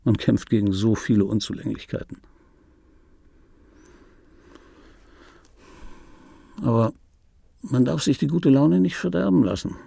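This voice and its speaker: Sardonic middle-aged storyteller, rough and husky, mellow, radio play monologue, close dry sound. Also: male voice, mid-range voice, expressive, fairly quick, dry recording, exaggerated voice. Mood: wry, rambling. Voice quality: rough, gravelly. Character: disgruntled, wry male film dubbing actor telling his life. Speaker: narrator and lead of a radio play.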